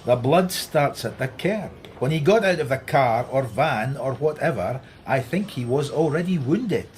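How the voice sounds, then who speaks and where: An actor playing a sardonic Scottish police inspector in a radio drama, with a dry, needling manner. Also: male voice, mid-range voice, lively intonation, measured pace, a little mellow, slight background noise, close to an everyday voice. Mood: brisk, sardonic.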